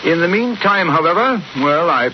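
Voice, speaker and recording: A shrewd English gentleman detective in vintage radio style. His speech is clipped and coolly understated, with expressive rises and falls. The recording is dull and heavily noisy. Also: high voice, normal speed. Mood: dry, composed. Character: shrewd, unruffled gentleman detective with dry wit. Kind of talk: 1940s radio drama acting. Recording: muffled, noisy old 1940s radio recording.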